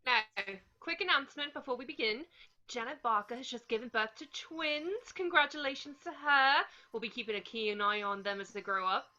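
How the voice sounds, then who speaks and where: Muffled lo-fi audio, brisk chairwoman, acted by a woman, high bright voice, announcing. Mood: brisk, formal. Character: brisk village committee chairwoman. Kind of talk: film script table read.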